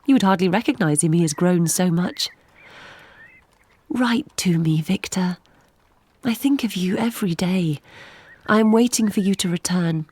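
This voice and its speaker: An actress playing a tender, yearning young gentlewoman, reading her letter softly and gently in an audio drama. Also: lowish voice, moderate pace, long pauses, dry recording. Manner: soft, gentle, breathy at times.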